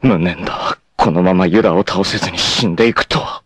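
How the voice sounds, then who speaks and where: A man's voice: low voice, fairly quick, fairly bright tone, breathy edge, no room sound. A man voicing a proud, doomed young martial-arts warrior bent on revenge in a 1980s anime, with intense, theatrical, solemn delivery. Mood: anguished, determined. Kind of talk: dramatic 1980s anime voice acting. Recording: noisy old TV anime soundtrack clips.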